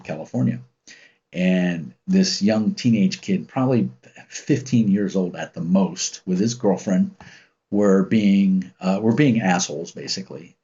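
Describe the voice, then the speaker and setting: A man in his fifties from the United States with a deep, commanding voice, chatting casually on his livestream and telling stories in a rambling, relaxed way. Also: slightly rough. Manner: rambling, relaxed, with many ums and restarts. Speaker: channel host reading live chat. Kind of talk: casual livestream chat and storytelling. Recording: muffled lo-fi stream audio.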